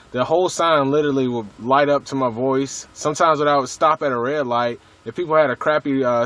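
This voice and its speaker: A man's voice: mid-range voice, a bit bright. An American man chatting casually as a radio hobbyist, in a laid-back, slangy way with some swearing.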